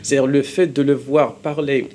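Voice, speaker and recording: Halting man with a Moroccan accent. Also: medium pitch, flat delivery, a little mellow. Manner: halting, repeats and rephrases himself. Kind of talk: live studio interview, explanatory. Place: television studio.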